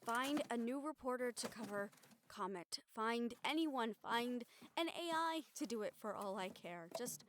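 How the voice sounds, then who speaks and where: A woman's voice: on the high side, expressive, unhurried, a bit bright, slight background noise. A woman acting a weary, sardonic, stubborn young newspaper reporter in an intimate audio roleplay, talking in a casual, wry, sweary way. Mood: firm, pleading.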